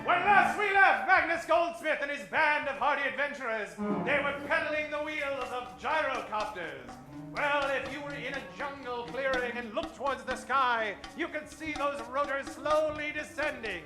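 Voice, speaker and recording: A man's voice: very high voice, expressive, normal speed, over music. A man narrating an old-time radio show in a theatrical, mock-dramatic announcer style.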